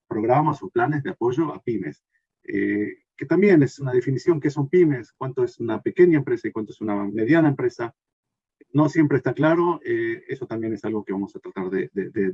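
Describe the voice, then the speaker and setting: A man's voice: medium pitch, mellow, breathy edge, dry recording, muffled recording. Hesitant man giving a talk. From Argentina. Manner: hesitant, many fillers and restarts. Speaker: consultant giving a workshop. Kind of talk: recorded presentation talk.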